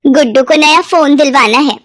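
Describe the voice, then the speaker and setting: A woman's voice: high voice, moderate pace, fairly bright tone, no room sound, studio quality. A woman voicing a stepmother in a moral story, bossy and resentful, who dotes on her son. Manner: scolding, bossy.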